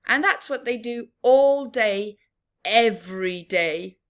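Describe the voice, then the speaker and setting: Female children's story reader. Higher-pitched, highly varied intonation, very unhurried, teacherly and sing-song, engaging small listeners. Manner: sing-song, expressive, prompting listeners with questions. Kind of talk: interactive picture book read-aloud for young children.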